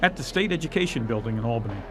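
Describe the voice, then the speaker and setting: A man's voice: mid-range voice, lively intonation, rapid-fire, noisy background. An American man narrating a scripted television news report as a reporter.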